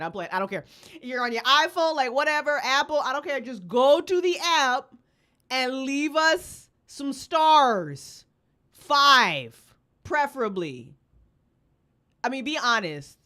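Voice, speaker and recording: A woman's voice: high voice, a bit bright. A woman hosting a live stream in a casual, playful, rambling way with plenty of swearing. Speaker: livestream host, podcast co-founder, writer and director. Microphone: streaming setup with webcam and headphones.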